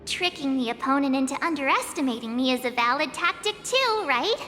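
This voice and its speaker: A perky young swordswoman chirps along in a game cutscene with a very high, sparkling tone that leaps all over the place. The sound rings with long reverb, and game music plays underneath. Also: animated, a little fast, pushed and bright. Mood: cheeky. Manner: chirpy, playful and self-assured. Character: perky young female swordswoman, cheeky and upbeat.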